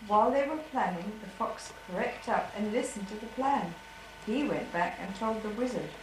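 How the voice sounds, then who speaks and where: A woman's voice: medium pitch, animated, moderate pace. Young female narrator, echoey noisy home video. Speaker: narrator of a home puppet show. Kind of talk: amateur storybook narration.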